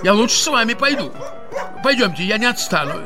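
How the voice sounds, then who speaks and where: High-pitched, fussy older gentleman. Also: sing-song, a little fast. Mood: offended, pleading. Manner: stammering, fussily polite, repeats words. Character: flustered, touchy, pompous older gentleman. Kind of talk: comic literary audio drama. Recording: music under the voice.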